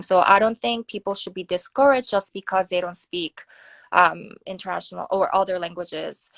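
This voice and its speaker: A woman's voice: mid-range voice, no room sound. Korean-accented woman giving careful answers. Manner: thoughtful, many ums and uhs, careful phrasing. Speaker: guest, nuclear security fellow. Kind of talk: online interview answers.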